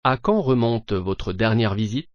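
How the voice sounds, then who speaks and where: A man's voice: lowish voice, expressive, a little slow, no echo, lo-fi sound. A man acting as an assured character in a scripted language-lesson dialogue. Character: assured man, car buyer or dentist.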